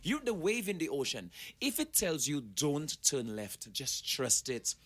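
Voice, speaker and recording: Man, 40s, motivational guest. Slightly high, husky voice, preachy and emphatic. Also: sing-song, measured pace, fairly bright tone. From Saint Lucia. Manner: preachy, emphatic, addresses listeners directly. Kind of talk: animated motivational talk.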